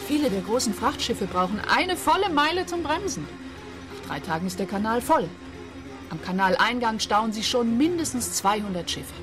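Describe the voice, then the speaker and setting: An actress playing a forceful informant in a radio crime drama, speaking emphatically in rhetorical questions and short statements. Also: female voice, a little high, big pitch swings, normal speed, music in the background. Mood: urgent, emphatic. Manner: emphatic, rhetorical, building her case.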